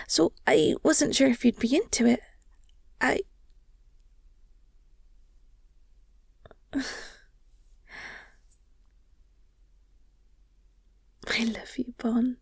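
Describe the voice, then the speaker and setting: A woman playing an affectionate, nerdy werewolf girlfriend in an intimate audio roleplay, soft and breathy, often near a whisper, speaking tenderly. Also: sits high, no room sound, heavily acted. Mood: wistful, affectionate. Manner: gushing, tender, hesitant with pauses and sighs.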